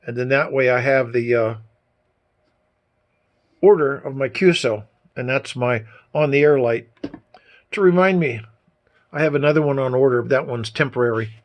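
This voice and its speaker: A man's voice: mid-range voice, moderate pace, pauses often. A man giving a casual, folksy hands-on demonstration as a hobbyist presenter, explaining things informally. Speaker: amateur radio hobbyist presenter.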